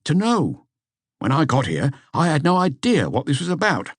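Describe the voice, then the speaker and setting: A man's voice: a little high, huge pitch swings, moderate pace, husky, no room sound, studio quality, pitched above the performer's own voice, exaggerated voice. A man voicing a hesitant, deferential police inspector giving a report in an audiobook. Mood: uneasy, puzzled. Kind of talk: audiobook character dialogue.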